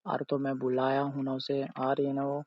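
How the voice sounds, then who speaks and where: A man's voice: medium pitch, completely flat delivery, brisk. A young man talking casually on a recorded phone call, defensive and dismissive. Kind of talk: casual phone argument with sister.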